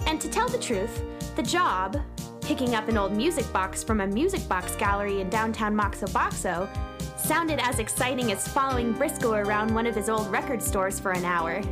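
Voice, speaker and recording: Woman as upbeat adventurous heroine narrating, high lively voice. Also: normal speed, over music, lightly acted. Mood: wry, confiding. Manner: expressive, clear storyteller. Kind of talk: children's storytelling narration.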